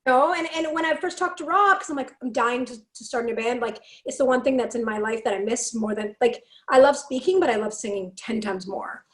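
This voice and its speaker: A woman from Canada with a raspy, fairly deep voice, telling stories in a casual chat and acting out the lines she quotes. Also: fairly quick, hardly any pauses, echoey room. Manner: animated, acts out quotes, laughs often. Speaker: podcast guest, singer and speaker.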